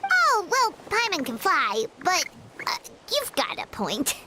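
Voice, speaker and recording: A woman voicing a tiny, childlike, excitable fairy companion with a squeaky voice in an anime video game. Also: takes it slowly, heavy background noise, heavily acted. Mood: hesitant, worried. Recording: loud game music behind.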